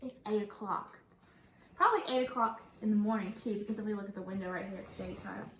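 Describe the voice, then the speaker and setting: A woman schoolteacher reading a picture book to young children in an animated, sing-song way, pausing to put questions to them. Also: mid-range voice. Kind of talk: read-aloud picture book lesson for children. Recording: echoey room, faint background noise.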